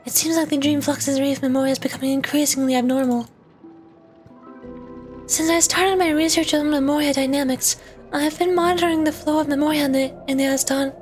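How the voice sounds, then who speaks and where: Female VTuber, high voice, reading out game script live over background music. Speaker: streamer, VTuber. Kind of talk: reading game dialogue aloud on a livestream.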